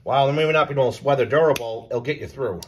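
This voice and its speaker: A man giving a casual, unscripted explainer as a hobbyist presenter, halting, repeating himself and trailing off. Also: medium pitch, slight background noise. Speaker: presenter of a radio hobby video.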